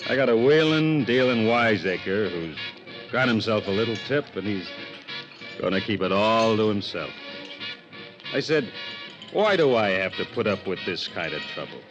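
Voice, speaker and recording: An actor playing a weary, wisecracking hard-boiled police sergeant in a 1950s radio detective drama, with gruff, slangy tough-guy talk. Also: fairly low pitch, lively intonation, moderate pace, close to an everyday voice. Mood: needling. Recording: dull old radio recording with music.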